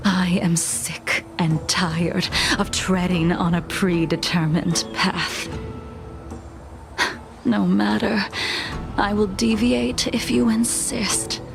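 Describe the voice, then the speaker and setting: An actress playing a solemn, weary fallen swordswoman in a video game, speaking gravely and formally with deliberate pauses and a breathy edge. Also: lowish voice, fairly even intonation. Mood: weary, bitter. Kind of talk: dramatic video game voice acting. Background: music.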